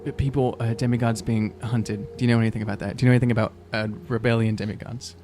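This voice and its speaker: A man playing a tabletop roleplaying game, improvising casually in a halting way and repeating words. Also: mid-range voice, level tone, noisy background. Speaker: player in an actual-play podcast.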